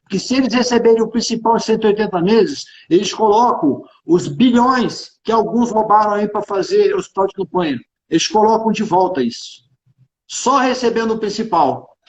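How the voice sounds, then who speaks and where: High-pitched, emphatic Carioca man. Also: lively intonation, normal speed. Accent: Carioca Brazilian Portuguese. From Rio de Janeiro, Brazil. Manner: emphatic, repeats phrases, colloquial and opinionated. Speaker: tax consultant and executive speaking on a livestream. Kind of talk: informal live business discussion. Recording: echoey room, dull band-limited livestream audio.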